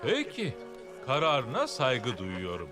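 A gracious older sponsor car in a cartoon film. The persuasive voice sits at a middle pitch but moves very freely, over loud music. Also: male voice, huge pitch swings, slow. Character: genial older gentleman car, wealthy team sponsor. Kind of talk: animated film dub acting.

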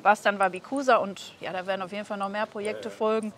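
A woman in her thirties talks as a casual interviewer. Her voice sits at an ordinary middle pitch. She is recorded outdoors on a clip-on mic. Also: steady tone, fast. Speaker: interviewer, hobby aquarium video creator. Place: outdoors beside an expo building. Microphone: clip-on wireless mic on the chest.

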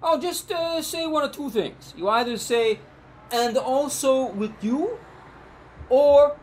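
A man's voice: very high voice, slow, slight background noise. A middle-aged man playing a shady, casually confident petty crook in an improvised soap opera, breezy and streetwise.

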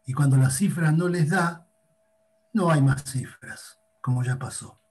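An older Argentine man weighs in over a video call, speaking very slowly and deliberately. His voice is warm and mellow, with a slightly high pitch. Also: slight background noise. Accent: Argentine Spanish. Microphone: webcam on a video call.

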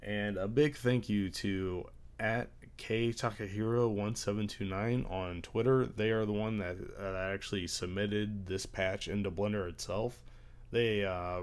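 A young man with a strained, congested voice after being sick, talking through a screen-recorded software tutorial at his desk, pausing often with "uh". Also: deep voice, clear, resonant tone. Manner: hesitant, many "uh" fillers. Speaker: tutorial creator.

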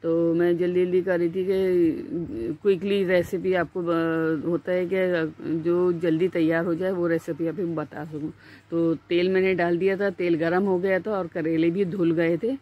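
A woman with a Pakistani Urdu accent, hosting a home cooking channel and explaining each step in a chatty voice-over with small pauses. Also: lowish voice, level tone, narrow, tinny audio. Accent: Urdu-speaking Pakistani.

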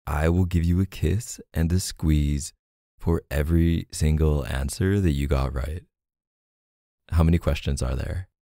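Man acting as deep, gravelly, teasing boyfriend. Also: fairly even intonation, dry recording, clean studio sound. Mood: playful, focused. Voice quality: low, gravelly, soft. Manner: teasing, murmuring, hesitant pauses and hums. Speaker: audio roleplay performer. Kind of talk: intimate ASMR boyfriend roleplay.

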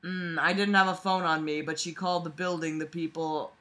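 A woman's voice: fairly low pitch, level tone, fairly quick, pushed and bright. A young adult woman reading a book aloud with casual running commentary, sarcastic, exasperated and freely swearing, recorded in a bedroom. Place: small bedroom, lying on a bed. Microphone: device camera microphone at arm's length.

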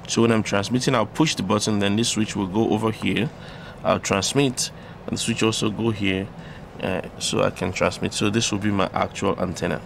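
A man presenting an unscripted electronics tutorial, explaining step by step in a halting way. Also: lowish voice, steady tone. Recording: clearly audible background noise.

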